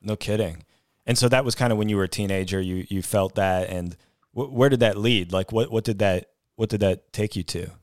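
A man hosting an interview, talking in a halting way with many fillers and restarts. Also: on the low side, no room sound, clean studio sound. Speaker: host.

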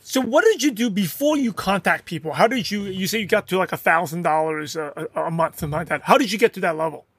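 A man's voice: high-pitched, expressive, fast talker, hardly any pauses, breathy edge, dry recording. A man hosting a podcast, asking the guest probing follow-up questions. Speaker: podcast host.

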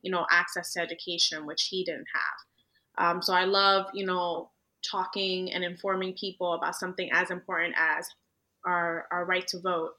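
A woman's voice: lowish voice, flat delivery, normal speed, sharp tone, rough recording. A woman, chatting as a guest attorney on a casual podcast and leaning on "you know" and "like". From Dominican Republic.

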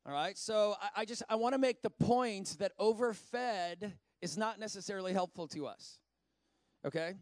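A man in his forties preaching a sermon, reading scripture and breaking into animated, conversational asides. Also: sits high, moderate pace, no room sound, studio quality. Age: 40s. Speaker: pastor.

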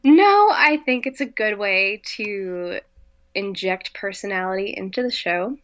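A woman's voice: medium pitch, animated, measured pace, fairly bright tone, muffled recording. A woman co-hosting a casual podcast, teasing with dry humor. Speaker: podcast co-host.

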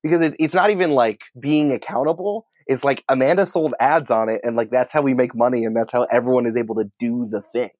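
A man's voice: medium pitch, fast talker, few pauses, a little mellow, no room sound, lo-fi sound. A man speaking as a guest in a casual interview, explaining things in an informal, colloquial way. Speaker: podcast company creative head, interview guest.

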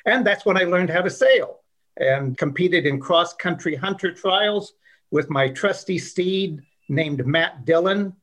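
A man's voice: sits high, lively intonation, measured pace, a bit bright. A man in his sixties, a guest on an interview show, telling his life story in a genial, folksy way. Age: 60s. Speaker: guest, investor.

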